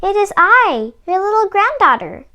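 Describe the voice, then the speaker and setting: A woman's voice: very high-pitched, very animated, moderate pace, pushed and bright, voice pushed up, full character voice. A woman voicing a sweet, trusting little girl in a storybook, with a prim, innocent, singsong manner. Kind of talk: storybook read-aloud character voice.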